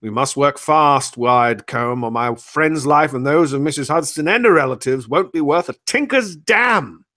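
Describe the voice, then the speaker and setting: A man playing a brisk, earnest great detective in a comic radio play parody, making dramatic deductions in a formal, declamatory way. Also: a little high, expressive, bright, forceful tone. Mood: urgent.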